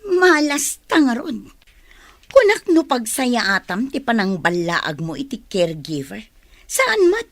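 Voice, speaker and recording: A woman's voice: a little high, wildly swooping pitch, slow, no room sound, heavily acted. An actress playing an insistent, scheming woman with a demanding temper in a radio drama. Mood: demanding, annoyed. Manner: insistent, conniving. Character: demanding, scheming woman after company money.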